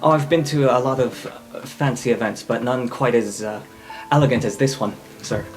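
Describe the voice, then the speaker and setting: A man's voice: medium pitch, moderate pace, soft background music. A man playing a tabletop roleplaying game, speaking casually and improvising his lines.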